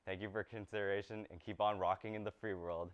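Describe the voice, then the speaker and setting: Young man with a smooth, low voice. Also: level tone, quick pace. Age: young adult. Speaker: student presenter. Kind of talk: scripted on-camera presenting. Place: small TV studio set.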